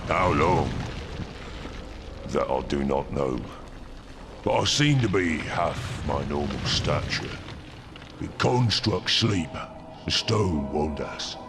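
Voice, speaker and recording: An actor playing an ancient stone construct in a video game, heavy and rumbling, speaking in a slow, solemn way. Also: male voice, expressive, heavily acted. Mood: slow, puzzled. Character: ancient sleepy stone construct, huge and ponderous. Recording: music behind the voice.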